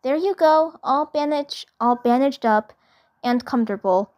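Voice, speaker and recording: A woman voicing a kind, caring cartoon superhero girl with a gentle, reassuring manner. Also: sits high, measured pace, voice pushed up.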